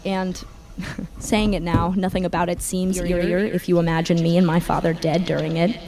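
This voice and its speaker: A creaky-voiced woman narrates a scripted, reflective monologue. Her delivery is deliberate and contemplative, circling back on phrases. Music plays clearly behind her. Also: lowish voice, flat delivery, darkish tone. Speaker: writer and performer of a personal audio essay. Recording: layered voice over prominent music bed.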